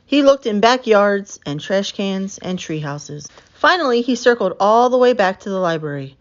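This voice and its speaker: A woman narrates a children's picture book with expression. Her voice sits at an average female pitch, and the recording sounds a little dull and lo-fi. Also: big pitch swings, normal speed. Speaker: storyteller reading a picture book aloud.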